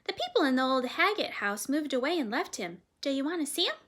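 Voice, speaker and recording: A woman's voice: high-pitched, sing-song, fast, voice pushed up. A woman voicing an eager, cheerful young boy in a read-aloud storybook. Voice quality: bright and eager.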